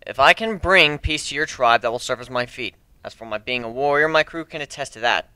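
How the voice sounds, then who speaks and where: Man acting a firm, bright-voiced pirate leader. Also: mid-range voice, fairly quick, smooth, no room sound. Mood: firm resolve. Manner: assertive, reasoning, firm. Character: confident, commanding male pirate crew leader and adventurer.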